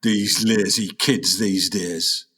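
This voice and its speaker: A man's voice: on the low side, fairly even intonation, slow, bright, forceful tone, heavily acted. A man playing an aging, grumpy, melodramatic jazz musician with a North of England accent in a comic radio play. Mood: grumbling. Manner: griping, melodramatic, indignant.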